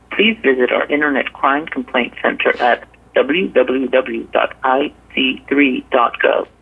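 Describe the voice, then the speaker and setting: A man's voice: gravelly, husky. A man reading a scripted automated phone menu greeting over a telephone line. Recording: tinny low-fidelity telephone line.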